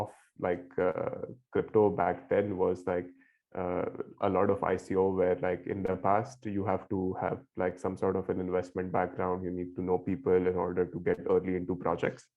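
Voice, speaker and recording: A man from India, a guest in a casual remote interview, talking haltingly with many fillers and frequent laughs. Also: deep voice, monotone. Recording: tinny phone-like line.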